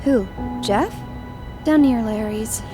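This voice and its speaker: A woman acts out a homeless teenage girl in a radio drama, jaded and blunt. Her voice sits a little high and she drags her words slowly, as if indifferent. Music runs behind her. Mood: weary.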